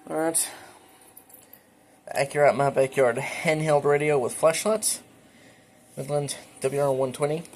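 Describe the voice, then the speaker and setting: A man hosting a casual home show-and-tell video, speaking informally and a little haltingly with enthusiastic asides. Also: a little high, steady tone, a little hiss or hum. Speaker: hobbyist video creator and host. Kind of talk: casual show-and-tell narration of a radio collection. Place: indoors at home.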